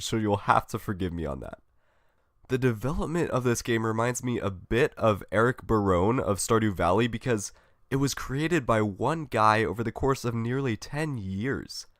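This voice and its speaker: A man's voice: fairly low pitch, no room sound. An upbeat young man hosts a solo gaming podcast and reads his script in a friendly, chatty way. He is getting over a cold. The recording is dry and close, with no room sound. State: recovering from a cold. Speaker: podcast host.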